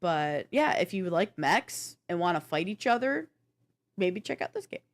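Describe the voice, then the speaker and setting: A woman's voice: lowish voice, very animated, moderate pace. A woman co-hosting a gaming news show, counting down a list in a casual, chatty, informal way.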